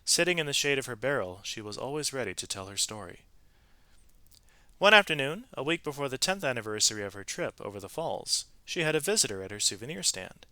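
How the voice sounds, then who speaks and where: A man narrating a children's story aloud in an expressive, animated way, with varied emphasis from phrase to phrase. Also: mid-range voice, a little fast, dry recording.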